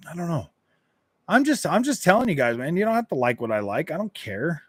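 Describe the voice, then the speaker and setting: A man in a casual gaming live stream, talking in a fairly high voice and wandering through his thoughts with lots of restarts. The sound is dry and close, with no room around it. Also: big pitch swings, a little fast, husky, dry recording. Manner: rambling, repeats and restarts phrases, laid-back.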